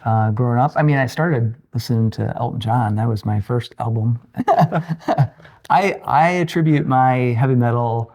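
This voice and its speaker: Male podcast guest, about 60, informal and halting, telling stories in a loose conversational style. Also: medium pitch, a little mellow. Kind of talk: casual interview chat, personal anecdotes.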